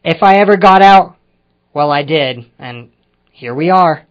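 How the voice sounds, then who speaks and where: A man playing an earnest, halting young ex-convict in love, in a melodramatic radio play. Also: fairly high pitch, animated. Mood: earnest, sentimental. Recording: dull lo-fi audio.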